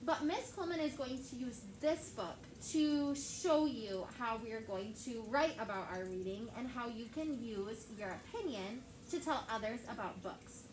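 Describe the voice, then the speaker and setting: A woman's voice: fairly high pitch, normal speed, few pauses. A young woman teaching a class of children, reading a story aloud and asking the kids lots of questions in a chatty way. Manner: chatty, asks the kids many questions, heavy use of "like". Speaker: elementary school teacher. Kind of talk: classroom read-aloud lesson. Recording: echoey classroom with background noise.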